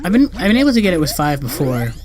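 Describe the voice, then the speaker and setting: A man's voice: sits high, very animated, racing, a crowd in the background. A man giving casual let's-play commentary as the host, rambling loosely in slangy speech and correcting himself as he goes. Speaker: gaming video host. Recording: game music behind the voice. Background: video game music.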